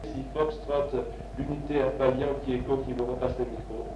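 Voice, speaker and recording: A man's voice: medium pitch, fairly even intonation, normal speed. Male ham radio operator giving a call sign, far off, muffled under heavy noise. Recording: distant, echoey, very noisy 1960s radio recording.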